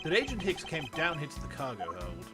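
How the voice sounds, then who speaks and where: A man with a Yorkshire accent reading a composed young male prosecutor's lines, measured and reasoning aloud over game music. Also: on the high side, huge pitch swings.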